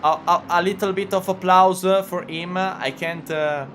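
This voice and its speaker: A man's voice: high voice, fairly even intonation, a bit bright, a little hiss or hum. A man with an Italian accent, whose first language is Italian, commentating a sim race casually, hesitant with many fillers. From Italy. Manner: halting, full of "uh" fillers and restarts; chatty, laughs often. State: injured shoulder. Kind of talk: live sim racing commentary.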